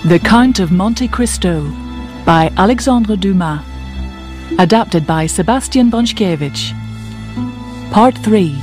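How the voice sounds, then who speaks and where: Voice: mid-range voice, animated, slow pace, pauses often. An announcer reading a scripted introduction to a radio drama. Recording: music under the voice.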